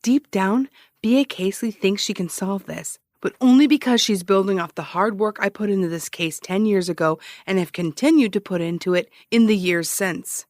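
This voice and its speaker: A woman's voice: medium pitch, normal speed, darkish tone, dry recording, natural voice. Woman acting a cocky lady sleuth on a radio show, sarcastic and teasing, mid voice, super dry sound. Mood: defiant, confiding. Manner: sarcastic, teasing, rambling, defensive. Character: cocky, needling amateur investigator and radio broadcaster, adult woman. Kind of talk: naturalistic audio fiction comedy-drama acting.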